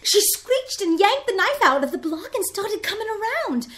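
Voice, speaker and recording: A woman's voice: very high voice, big pitch swings, normal speed, fairly bright tone, raised voice, full character voice. A woman playing an earnest sixteen-year-old girl who tells her diary as a vivid, theatrical radio drama narration. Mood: breathless drama.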